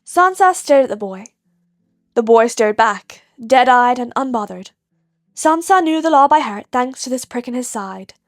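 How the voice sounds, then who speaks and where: High-voiced woman narrating fiction. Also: animated, moderate pace, no echo, clean studio sound, soft background music. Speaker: podfic narrator.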